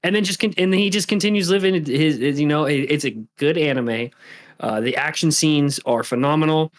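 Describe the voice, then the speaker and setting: A man's voice: fairly high pitch, fairly quick. A man co-hosting a casual podcast, laid-back and loose, full of likes and you-knows. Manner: laid-back, filler-heavy, says "like" and "you know". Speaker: podcast co-host.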